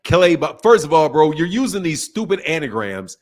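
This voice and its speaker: High-pitched man hosting a casual livestream. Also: big pitch swings, moderate pace. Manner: emphatic, slangy, repeats phrases for emphasis. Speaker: livestream host of a boxing talk channel. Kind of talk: casual livestream chat, reading and answering viewer messages.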